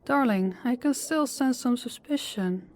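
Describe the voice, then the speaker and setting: A woman's voice: fairly high pitch, lively intonation, a little mellow. Poised, controlling woman boss, acted by a woman. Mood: coolly reassuring. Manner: formal, measured, condescendingly sweet. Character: poised, controlling female boss with veiled menace, affectionate toward employee. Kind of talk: audio roleplay acting.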